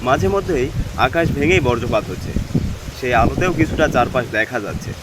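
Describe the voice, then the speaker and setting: Cartoon horror story lead: a man as an unafraid village vet narrating his own tale, medium pitch, heavy rain behind. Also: expressive, brisk, natural voice. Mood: tense, eerie. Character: village cattle doctor telling his eerie tale, unafraid. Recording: constant rain and wind noise behind.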